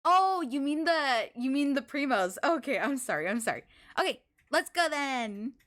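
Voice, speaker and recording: High-voiced woman game streamer, expressive. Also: moderate pace. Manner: expressive, exclamatory, thinking aloud. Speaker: live streamer playing a video game. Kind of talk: casual chat with stream viewers while gaming.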